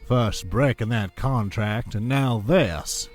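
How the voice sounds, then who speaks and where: Male actor as cold scheming man, smug, mocking, deliberate, audio drama, echoey hall, music underneath. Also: mid-range voice, close to an everyday voice. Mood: stern, resolute.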